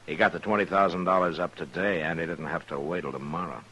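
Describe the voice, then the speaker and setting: An actor playing a stern, controlled Old West marshal in an old-time radio western. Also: male voice, deep voice, fairly even intonation, fast talker, bright, forceful tone. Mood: measured. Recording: noisy vintage radio recording.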